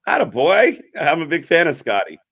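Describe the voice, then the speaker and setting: A man's voice: on the high side, very animated, moderate pace, a bit bright. A man hosting an informal interview in casual chat style, often repeating short affirmations. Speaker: host of a wrestling fan channel.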